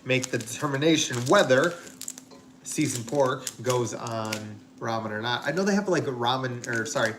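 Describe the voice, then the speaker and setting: A man's voice: mid-range voice, lively intonation, moderate pace, a bit bright, a little hiss or hum. A man hosting a casual live cooking stream from a home kitchen, joking around and correcting himself mid-sentence. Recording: kitchen clatter behind. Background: dishes and pots clanking.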